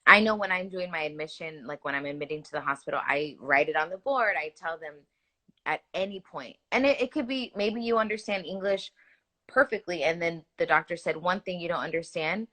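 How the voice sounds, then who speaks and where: Young nurse lady, earnest and animated, lots of likes, lowish but bright punchy voice. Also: brisk. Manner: earnest, animated, frequent "like" fillers and restarts. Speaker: hospital nurse. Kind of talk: conversational interview answers.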